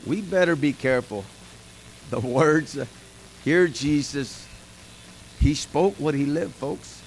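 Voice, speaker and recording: A man's voice: a little high, takes it slowly, slight background noise, low-quality recording. A middle-aged man preaching an informal sermon to a small group, folksy and emphatic, talking straight to his listeners. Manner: folksy, emphatic, talks to the congregation directly. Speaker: pastor.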